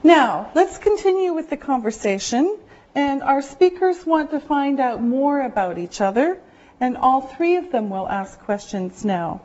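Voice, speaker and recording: A woman English teacher speaks slowly and deliberately, her voice sitting a little high. She gives clear, careful directions to a classroom of learners. The recording is muffled and lo-fi. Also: very animated, darkish tone. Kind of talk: classroom instruction.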